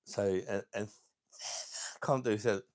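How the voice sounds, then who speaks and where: A middle-aged man with a deep voice and a sore throat, chatting casually as he hosts a beer channel livestream and reads out viewer comments in a rambling, laid-back way. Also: steady tone, slow pace, a little mellow. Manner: rambling, laid-back, chuckling between remarks. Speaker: beer review channel host.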